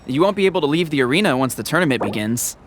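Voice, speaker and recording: A man playing a brisk, official stadium announcer making public announcements in an English game dub. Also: on the high side, animated, slight background noise, lightly acted. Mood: official, urgent.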